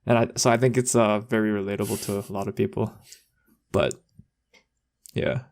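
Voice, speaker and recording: Young man, creaky voice, podcast co-host, casual slang, rambling delivery. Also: lowish voice, fairly even intonation, normal speed, darkish tone. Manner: informal, rambling. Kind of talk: casual chat, anecdotes with slang.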